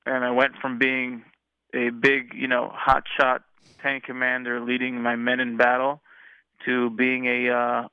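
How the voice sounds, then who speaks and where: An earnest man in his thirties with an American accent tells his story slowly and reflectively, pausing on fillers and restarting his sentences. His voice comes through a thin, phone-like line with no room sound and sits at an ordinary pitch for a man. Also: completely flat delivery, clear, resonant tone, no echo. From Israel, raised in the United States. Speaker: interview guest, musician. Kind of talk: casual interview storytelling.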